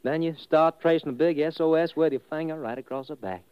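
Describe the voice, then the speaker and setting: An actor playing a folksy, gleeful backwoods sailor with a drawling American Southern accent in 1950s radio drama style. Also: male voice, on the high side, a little fast, clear, resonant tone, dry recording, natural voice. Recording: dull vintage radio recording.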